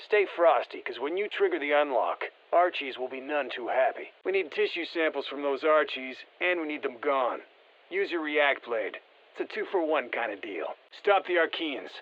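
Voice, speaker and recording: A man's voice: a little high, lively intonation, moderate pace, a bit bright, lo-fi sound. An actor playing a confident, folksy male soldier in a video game, giving colloquial, clipped tactical briefings through a radio filter. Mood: briefing, wry. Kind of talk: scripted game voicelines, mission briefing.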